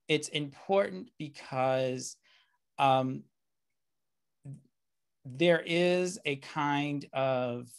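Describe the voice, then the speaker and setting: Gravelly-voiced man giving a thoughtful lecture. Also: mid-range voice. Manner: measured, reflective, many um and you know fillers. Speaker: keynote speaker. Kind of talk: lecture over slides. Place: indoor room, video call.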